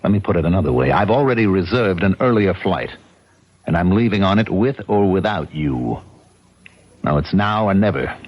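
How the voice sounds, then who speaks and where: A man's voice: deep voice, animated, moderate pace. An actor playing a cold, menacing swindler turned killer in a vintage radio drama, both in dialogue and telling his own story. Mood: cold, taunting. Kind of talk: 1970s radio drama acting and first-person narration. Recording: muffled old radio broadcast, loud music.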